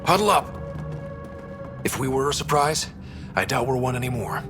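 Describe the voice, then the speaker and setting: A practical, plain-spoken male soldier performed by an actor in a video game, steady and businesslike. The voice sits at a medium pitch over clear music. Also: moderate pace, natural voice.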